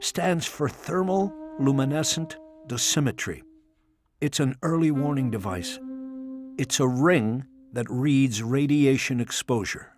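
Man reading as a crisp, serious woman fed who knows her stuff, crime audiobook, music under. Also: medium pitch, unhurried, natural voice. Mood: knowing, serious. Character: serious, knowing female federal agent.

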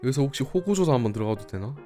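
A man's voice: medium pitch, animated, very fast, dark tone, soft background music. A man in his early twenties chatting and joking casually as he hosts an online gaming video. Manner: teasing, joking. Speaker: gaming video creator and host. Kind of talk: casual chat during an online game.

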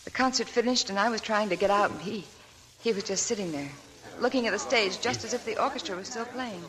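A woman's voice: medium pitch, quick pace, a bit bright, close to an everyday voice, poor audio. Woman playing a weary, guarded young woman. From Maine. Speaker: actress in a radio play. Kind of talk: 1940s radio acting. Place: radio studio.

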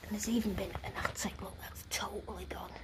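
Woman, hushed and cautious, whispering to a phone camera in a derelict brick building. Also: medium pitch, fairly even intonation, a little fast. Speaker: urban explorer filming. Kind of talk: whispered exploration vlog. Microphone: handheld phone camera.

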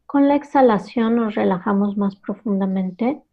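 A woman leading a guided meditation, calmly giving instructions in short, unhurried phrases. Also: medium pitch, narrow, tinny audio.

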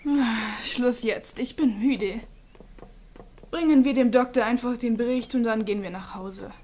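Woman playing a high-voiced exasperated anime girl. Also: a little slow, a little mellow. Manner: exasperated, nagging. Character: exasperated, tired, sensible teenage girl, easily scared. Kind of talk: German fan-dubbed anime audio drama.

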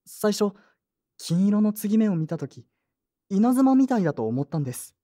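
A woman playing an earnest, polite young man in an audio drama, soft-spoken and sincere. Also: mid-range voice, sing-song, normal speed, lightly acted. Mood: moved, grateful. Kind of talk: Japanese audio drama acting and first-person narration.

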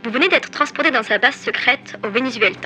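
An actress playing a poised, polite mission operator giving a scripted briefing in a radio drama. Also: female voice, a little high, fast, bright, forceful tone, soft background music, low-quality recording. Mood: formal.

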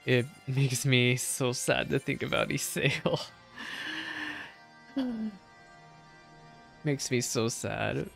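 A man's voice: medium pitch, very animated, extremely slow, creaky, long pauses, a bit bright. Young man, game streamer, casual commentary, chatty asides, music in the background. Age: young adult.

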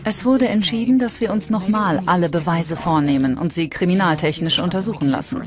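A woman's voice: lowish voice, brisk, natural voice. A woman voicing an earnest, explanatory investigator in a crime documentary dub. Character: female investigator recounting a reopened case. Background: prominent music.

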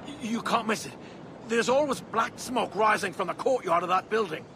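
An actor playing a surly street gang lackey in a video game, with a gravelly, creaky voice. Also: male voice, sits high, normal speed, background noise. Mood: cowed. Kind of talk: video game cutscene acting.

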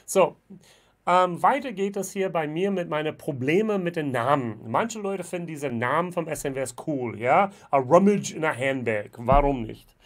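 Amerikaner, der lebhaft über Whisky plaudert. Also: male voice, a little high, animated, moderate pace. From United States. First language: English. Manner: chatty, frequent fillers, gestures with bottles. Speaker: whisky vlogger. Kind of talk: casual spoken review. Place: indoor room with shelves of whisky bottles. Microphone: webcam at desk.

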